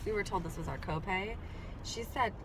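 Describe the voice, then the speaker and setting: A woman's voice: medium pitch, normal speed. A young woman chatting casually as a co-host, giving advice in a matter-of-fact way. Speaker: vlogger, co-host. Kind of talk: casual chat to camera. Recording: loud car and traffic noise.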